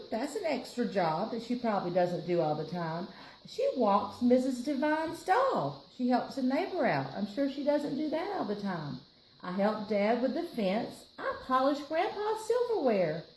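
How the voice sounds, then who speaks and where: A woman's voice: on the high side, a little mellow. An American woman reading a picture book aloud to children, adding chatty explanatory asides as she goes. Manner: explanatory, chatty, addressing listeners and co-reader. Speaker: library storytime reader. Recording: roomy echo, faint background noise.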